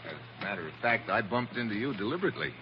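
An actor playing a cool, wry American secret agent in a casual, clipped 1940s radio style. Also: male voice, lowish voice, lively intonation, racing, slight background noise, lightly acted. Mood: smooth, flirtatious. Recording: muffled vintage radio recording with music behind.

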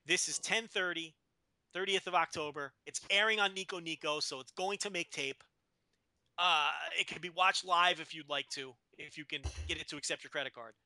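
A man in his thirties with a high, piercingly bright voice co-hosts a casual podcast. He jokes and laughs his way through the chat, cutting in over his partner. The sound is dry and close, with no room echo. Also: moderate pace. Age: 30s. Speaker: podcast co-host.

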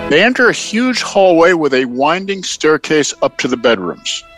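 A man's voice: a little high, very animated, moderate pace, a bit bright, faint music behind. A man reading scripted stage directions as the announcer of an audio play.

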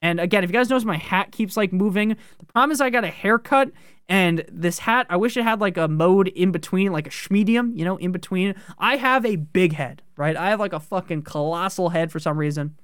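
A man hosting a fantasy football podcast, talking casually with profane, joking asides and sudden jumps in pitch on key words. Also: a little fast, a bit bright, clear, resonant tone, no room sound, studio quality.

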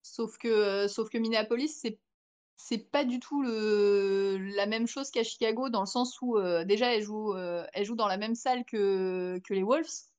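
A woman's voice: mid-range voice, normal speed, a little mellow, smooth. A young French woman, a podcast guest, telling a long anecdote in casual chat with many fillers and restarts. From France. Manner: chatty storytelling with many fillers.

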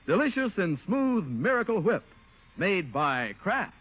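A man's voice: high-pitched, very animated, a little slow. An American man announcing on 1950s radio, with a polished, salesmanlike delivery. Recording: tinny 1950 radio recording.

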